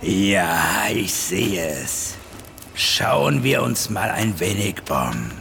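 An actor playing a sinister, mockingly evil inner voice bent on revenge in an audio drama, with a gravelly voice. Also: male voice, fairly low pitch, big pitch swings, drawn-out words, fairly bright tone, exaggerated voice. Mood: sly, calm. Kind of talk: German audio drama acting.

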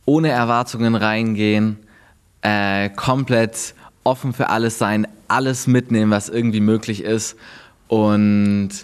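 A man's voice: lowish voice, fairly even intonation, measured pace, clean tone. A man giving casual advice in an unscripted way, recorded with some noise and clicks. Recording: noticeable background noise, clicks.

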